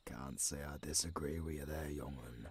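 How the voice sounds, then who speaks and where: A man playing a tough, caring old werewolf mentor with a London British accent and a raspy growl, in an audio roleplay. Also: fairly even intonation, dry recording, exaggerated voice. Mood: gruff, confiding. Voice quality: very deep, raspy, gravelly growl. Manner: slow, rough, swearing, commanding.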